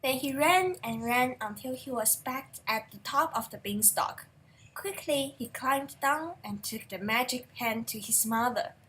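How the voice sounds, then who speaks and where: Bright, high young female story reader. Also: expressive, measured pace, a little hiss or hum, poor audio. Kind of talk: read-aloud fairy tale narration.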